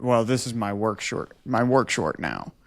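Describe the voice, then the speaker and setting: A man co-hosting a podcast in casual comic banter, telling stories in a mock-serious, boastful deadpan. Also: lowish voice, normal speed, gravelly. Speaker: podcast co-host.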